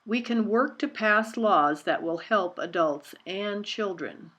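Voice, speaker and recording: A woman voicing a dignified, determined elderly female campaigner in a storybook, speaking in measured, earnest statements. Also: medium pitch, animated, a bit bright, muffled recording. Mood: earnest explaining.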